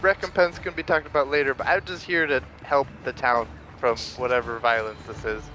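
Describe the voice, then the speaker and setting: A man playing a tabletop game with friends, chatting and roleplaying casually in a halting, stammering way. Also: medium pitch, fairly even intonation, pushed and bright, soft background music, low-quality recording.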